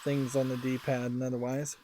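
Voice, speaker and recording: A man's voice: medium pitch, completely flat delivery, normal speed, warm tone, a little hiss or hum. A man giving casual, musing game commentary as a let's play host, often hesitant and trailing off. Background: game music.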